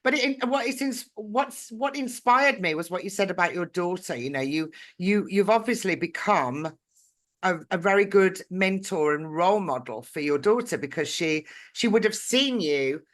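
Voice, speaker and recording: A woman's voice: fairly low pitch, animated, moderate pace. A woman hosting a podcast interview with a dry, throaty voice, affirming her guest and chiming in with agreement. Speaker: podcast host and coach.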